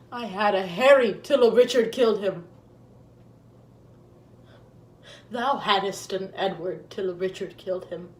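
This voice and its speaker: A woman's voice: medium pitch, close to an everyday voice. A woman playing a grieving, vengeful deposed queen in a Shakespearean stage monologue, declaiming solemnly and bitterly. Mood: bitter grief. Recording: echoey room.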